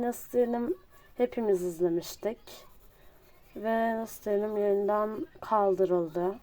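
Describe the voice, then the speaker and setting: A person chatting casually to viewers while drawing, halting and often breaking off mid-thought. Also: mid-range voice, a little mellow. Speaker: host of a drawing channel.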